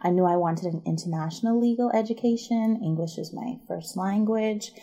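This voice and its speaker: A woman's voice: medium pitch, moderate pace, warm tone. A woman in her thirties from the Bahamas, a podcast guest explaining her background in an articulate, upbeat way. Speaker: podcast guest, MBA student and barrister. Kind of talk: conversational interview.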